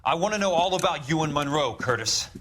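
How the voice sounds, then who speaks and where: An actor playing a tough, sardonic investigator in a 1940s detective game, wry, terse and blunt. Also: male voice, a little high, animated, a little fast, a bit bright, a little hiss or hum. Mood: menacing. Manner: wry, terse, hard-boiled.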